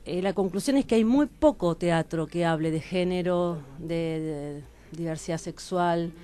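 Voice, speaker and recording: A woman's voice: on the low side, a little slow, mellow. A woman with an Argentine accent, a radio columnist introducing guests in an informal, affectionate conversational style.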